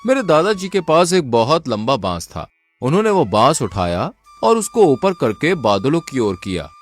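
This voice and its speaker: Animated, boastful village swindler, acted by a man. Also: medium pitch, normal speed, faint music behind. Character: boastful village swindler, scheming elder brother. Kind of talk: Hindi folk tale dialogue.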